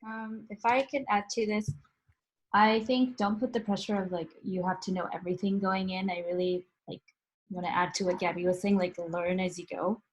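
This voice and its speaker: A woman's voice: mid-range voice, level tone, moderate pace, poor audio. A woman UX writer on a panel giving encouraging advice in a casual way.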